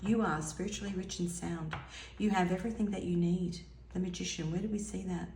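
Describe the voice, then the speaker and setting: A woman giving an intuitive tarot reading in a musing, soft-spoken way, her voice a little hoarse and now and then dropping to a near whisper. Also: on the low side, steady tone, fairly quick, reverberant, slight background noise. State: slightly hoarse, losing her voice. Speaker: tarot reader.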